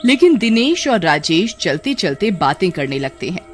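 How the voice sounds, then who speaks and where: A narrator telling a cartoon horror story in an animated storytelling voice. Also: medium pitch, moderate pace, soft background music.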